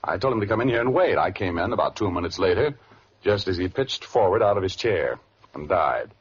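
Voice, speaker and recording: A man's voice: sits low, lively intonation, a little fast, husky. A man playing a wisecracking, slangy private investigator in a 1940s-style noir radio drama. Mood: brisk, matter-of-fact. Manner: slangy, wry. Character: hard-boiled, wisecracking male private investigator. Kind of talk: 1940s radio acting, noir first-person narration. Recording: dull old radio recording, loud music.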